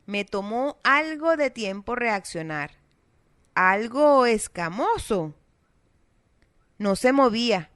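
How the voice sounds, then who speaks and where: A woman with a South American Spanish accent, reading a children's story aloud in a steady, expressive way. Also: on the high side, no echo, clean studio sound. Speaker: narrator. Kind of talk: scripted storybook narration.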